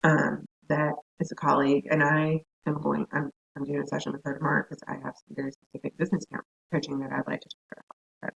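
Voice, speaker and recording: Hoarse, scratchy woman lecturing. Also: sits low, completely flat delivery, quick pace, muffled recording. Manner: chatty, laughs, self-interrupting asides. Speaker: counseling instructor. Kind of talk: recorded class lecture.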